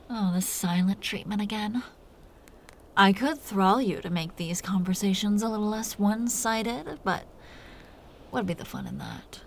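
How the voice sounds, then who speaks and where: Slow, creaky-voiced seductive vampire woman, acted by a woman. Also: medium pitch, expressive, slight background noise. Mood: taunting. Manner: slow, teasing, breathy half-whispers with soft chuckles. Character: teasing, seductive, dominant female vampire captor. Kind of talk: intimate roleplay audio drama.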